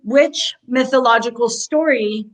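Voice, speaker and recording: A woman's voice: a little high, measured pace. A woman who grew up in Japan, a comic writer speaking in an interview, thoughtful and explaining with frequent ums. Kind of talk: casual interview.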